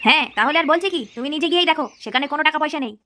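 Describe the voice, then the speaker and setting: A woman's voice: high-pitched, racing, noisy background, close to an everyday voice. A woman voicing a defensive, exasperated village wife and mother in a comic cartoon, curt in manner.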